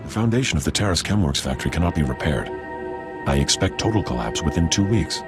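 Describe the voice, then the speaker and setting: An actor playing a humble alien scientist in a video game, speaking formally and precisely with measured apology. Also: male voice, very deep voice, animated, loud music behind the voice. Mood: grave, deferential. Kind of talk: video game dialogue acting.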